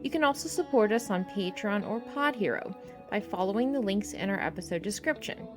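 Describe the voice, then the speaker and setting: A woman reading out a podcast's credits steadily and clearly. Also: medium pitch, lively intonation, fairly quick, music in the background. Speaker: credits reader.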